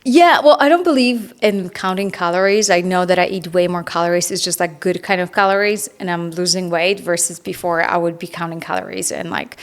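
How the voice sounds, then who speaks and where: Chatty woman with a lowish voice. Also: fairly quick, few pauses. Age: 30s. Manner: informal, chatty, peppered with "like". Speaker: podcast guest, biohacker and author. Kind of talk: casual conversational interview.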